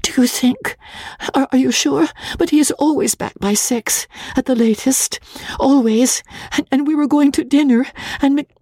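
Lady doing a shaky young bride, whispery and high, keeps stammering, close dry sound. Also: normal speed, darkish tone, dry recording, close to an everyday voice. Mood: anxious, pleading.

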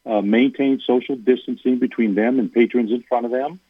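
A man's voice: medium pitch, steady tone, a little fast, breathy edge, dry recording. A man who is a university athletic director, speaking as a guest in a radio interview, earnest and given to repeating words as he starts a thought. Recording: tinny phone line.

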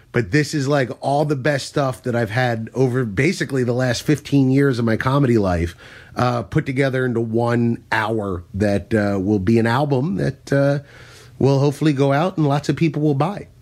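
Chatty comedian telling stories. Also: male voice, mid-range voice, normal speed. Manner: storytelling, self-deprecating, animated when telling bits. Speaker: stand-up comedian and podcast host. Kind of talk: casual podcast chat.

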